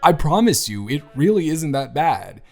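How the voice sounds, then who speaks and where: A man playing a bruised, self-deprecating teenage boy confiding in his best friend in an intimate audio roleplay, talking in a hesitant, gently wry, conversational way. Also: fairly high pitch, very animated, normal speed, creaky, full character voice. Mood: sheepish, joking. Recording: music behind the voice. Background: soft background music.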